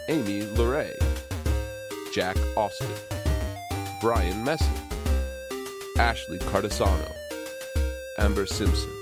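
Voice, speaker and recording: A man reading scripted narration and credits as the narrator of an audio story. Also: lowish voice.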